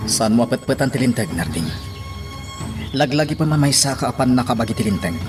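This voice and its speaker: An actor playing a concerned friend urging caution in a radio drama. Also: male voice, mid-range voice, expressive, brisk, lightly acted. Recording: music bed under the voice.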